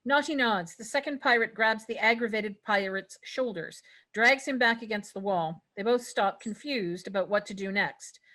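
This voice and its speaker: Bright-voiced woman reading stage directions. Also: medium pitch, moderate pace. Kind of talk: scripted narration at a table read.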